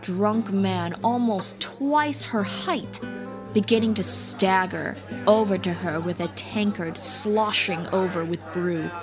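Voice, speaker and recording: A woman's voice: mid-range voice, takes it slowly. A woman narrating a scripted fantasy audiobook as a storyteller. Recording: music playing behind.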